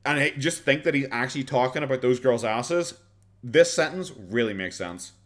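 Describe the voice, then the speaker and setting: A man's voice: medium pitch, moderate pace, background noise. An Irish man streaming a casual reaction chat, sarcastic, swearing often and laughing a lot.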